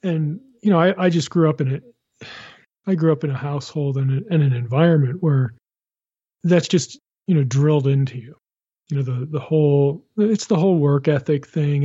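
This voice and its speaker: A man with a husky, mellow voice, tired and low on energy, talking casually from his desk. He rambles, restarts his sentences and fills them with "you know." The recording is dull and lo-fi, with a dry sound. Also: no room sound. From Pennsylvania. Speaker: podcast host. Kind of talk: casual podcast chat. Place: sitting at a home desk.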